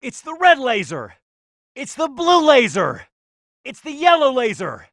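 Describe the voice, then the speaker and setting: Bold high-pitched cartoon fighter. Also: male voice, huge pitch swings, unhurried, fairly bright tone, dry recording, clean studio sound, heavily acted. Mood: instructing. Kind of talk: scripted video game character voice acting.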